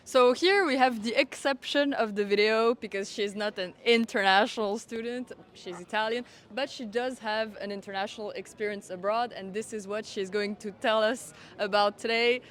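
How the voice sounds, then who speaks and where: A woman's voice: mid-range voice, moderate pace, few pauses. A woman asking questions informally as an interviewer.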